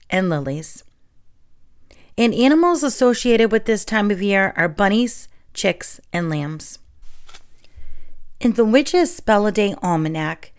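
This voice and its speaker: A woman's voice: medium pitch, clear, resonant tone. Chatty young woman with a sore throat. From New England. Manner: chatty and friendly, with fillers and soft laughs. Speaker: podcast host. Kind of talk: casual solo podcast talk and reading.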